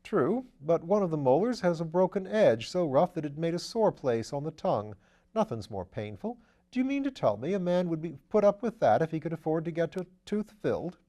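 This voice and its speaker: Brief: man voicing a raised, warm-toned posh amateur sleuth, brisk and chatty, storybook reading. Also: fairly high pitch, sing-song, voice pushed up. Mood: breezy. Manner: chatty, upper-class slang, brisk and eager. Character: breezy aristocratic English gentleman sleuth, man. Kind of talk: audiobook character voice.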